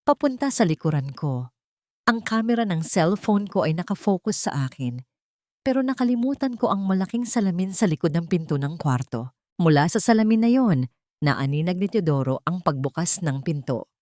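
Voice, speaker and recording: A woman's voice: on the low side, warm tone, no echo, studio quality. A woman narrating a dramatic first-person confession in a solemn, anguished manner. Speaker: story narrator.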